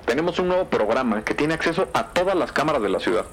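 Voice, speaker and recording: An actor playing an efficient, helpful police tech analyst in a crime audio drama, giving precise explanations. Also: male voice, on the high side, sing-song, fast talker, low-quality recording. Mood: businesslike. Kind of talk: scripted crime drama acting. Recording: studio drama with faint music.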